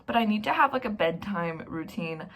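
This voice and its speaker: A woman's voice: mid-range voice, animated, normal speed, fairly bright tone, poor audio. Young female vlogger, chatty and rambling, self-deprecating, casual talk to camera. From Canada.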